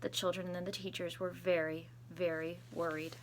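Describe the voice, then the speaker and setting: A woman's voice: lowish voice, level tone, moderate pace, background noise. A woman reading a children's picture book aloud expressively, adding chatty asides as a school storytime reader.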